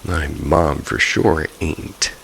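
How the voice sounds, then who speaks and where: A man's voice: rumbling low, drawn-out words, gravelly, a little hiss or hum. A man narrating first-person ghost stories from a script, in the style of a bedtime listen. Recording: steady rain ambience behind the voice. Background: rain falling.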